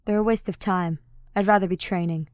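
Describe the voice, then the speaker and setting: A woman reads as a grumpy young fighter in an audiobook tale. He speaks at a middle pitch in brusque, disdainful phrases, with little patience, recorded dry. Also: fairly even intonation, fairly quick, darkish tone, dry recording, close to an everyday voice. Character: curt, grumpy, impatient young male fighter. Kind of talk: fan fiction audiobook character voice.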